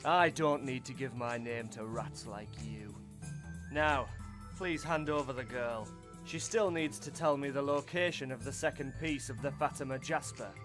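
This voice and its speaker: A man's voice: medium pitch, moderate pace, over music, natural voice. A man playing a cold, haughty officer. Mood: condescending. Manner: cold, condescending.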